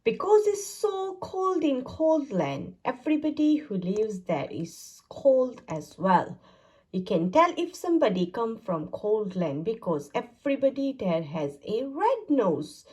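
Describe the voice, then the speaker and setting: A woman reads a children's picture book aloud in a sing-song way, her pitch rising and falling widely. She speaks slowly, with a soft, breathy voice. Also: very animated. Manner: sing-song, exaggerated storytelling. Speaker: storybook reader. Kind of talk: children's read-aloud narration.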